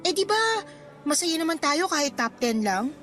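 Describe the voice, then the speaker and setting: A woman playing a modest, contented schoolgirl best friend in a radio drama, speaking in a gently protesting, conversational way. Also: high voice, big pitch swings, normal speed, soft background music.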